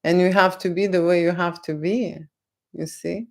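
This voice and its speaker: A woman with a Lithuanian accent, not a native English speaker, answering viewers' questions on a livestream in a slow, lecturing way and tagging "okay?" onto her points. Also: lowish voice, fairly even intonation, gravelly, a little mellow. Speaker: photographer on a livestream. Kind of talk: live Q&A chat with viewers.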